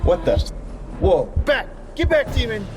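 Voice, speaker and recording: Excitable dwarf, lively and high-pitched. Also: male voice, a little slow, full character voice. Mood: alarmed. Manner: folksy, excitable exclamations. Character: gruff, plucky male dwarf adventurer with an axe. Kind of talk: fantasy audio drama acting. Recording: music underneath.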